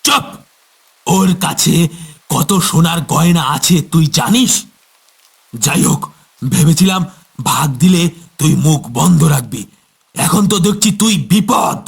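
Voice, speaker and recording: A man voicing a greedy murderer in a horror cartoon, making menacing, drawn-out threats. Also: a little high, animated, gravelly, slightly rough, heavily acted.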